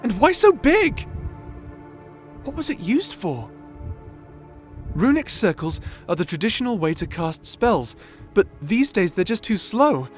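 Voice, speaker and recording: Young male wizard, played by a man, audio drama: high, very animated, nerdy, self-doubting stammerer, music underneath. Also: unhurried, long pauses. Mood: excited discovery. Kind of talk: scripted audio roleplay acting.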